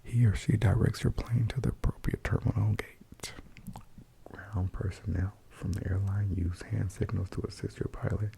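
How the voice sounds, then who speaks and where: A man whispering and speaking softly as he reads aloud for ASMR, hushed and gentle, with a breathy sound. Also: lowish voice, fairly even intonation, moderate pace. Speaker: ASMR host. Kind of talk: ASMR, whispered and soft-spoken article reading.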